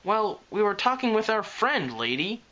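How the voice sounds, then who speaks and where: Teasing prim unicorn girl in a storybook reading, high and lively, narrator voicing her. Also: normal speed, low-quality recording. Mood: snarky. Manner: pointed, teasing. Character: prim, snarky young unicorn filly.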